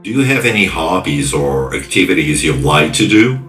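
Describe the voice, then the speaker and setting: Audio drama role: weary male ex-cop acted by a man, very low voice, probing and suggestive, echoey with music. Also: sing-song, unhurried, fairly bright tone, exaggerated voice. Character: world-weary male cyberpunk ex-police investigator, probing, suggestive. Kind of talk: English-language audio drama dialogue.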